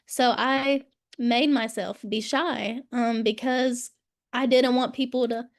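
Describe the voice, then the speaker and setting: A woman's voice: fairly high pitch, steady tone, slow. A woman who stutters, openly and wistfully sharing her story in a group video call. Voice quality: stutters, with broken-off sounds and fillers. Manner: open, wistful recollection. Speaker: support group participant.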